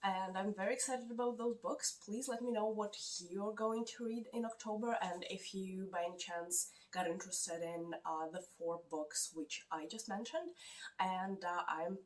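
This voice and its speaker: A woman with a non-native English accent chatting casually about books she plans to read, pausing often with fillers. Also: mid-range voice, recorded from a distance, poor audio. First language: not English. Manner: hesitant, many fillers. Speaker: book vlogger. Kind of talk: casual chat. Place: lives in Canada.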